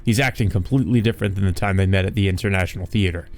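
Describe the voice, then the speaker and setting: A man's voice: low voice, racing, gravelly, a little mellow. A man hosting a let's play, reading visual novel narration aloud and commenting casually.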